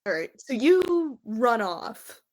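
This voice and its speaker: A woman's voice: fairly high pitch, sing-song, slow pace, a little mellow. A woman acting as game master, narrating and explaining the scene to players in an easy conversational way. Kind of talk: narrating and refereeing a tabletop game, unscripted.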